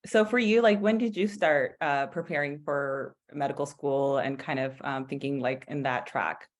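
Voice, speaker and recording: A young woman in her twenties speaks as a guest on an online panel. Her voice sits low, and she talks in a casual, halting way with many ums and likes. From born in Ethiopia, raised partly in Eritrea, then California. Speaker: medical student guest.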